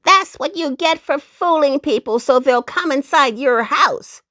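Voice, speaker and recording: Woman doing a shrill young squirrel boy. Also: unhurried, sharp tone, dry recording, studio quality. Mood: scolding. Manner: scolding, exclamatory.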